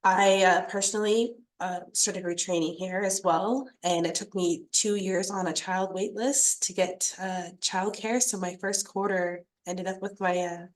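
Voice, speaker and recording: Uma estudante apresentando um trabalho numa videochamada, de forma simpática e direta, contando a própria experiência. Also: female voice, mid-range voice, fairly even intonation, unhurried, hardly any pauses, rough recording. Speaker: student presenter. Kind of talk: presentation on a video call.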